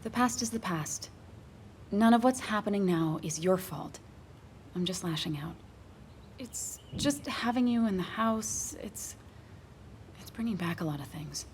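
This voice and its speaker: An actress playing a weary, grieving woman in her thirties, confiding haltingly in naturalistic video game drama. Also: medium pitch, animated, a little hiss or hum, lightly acted. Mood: wistful, regretful.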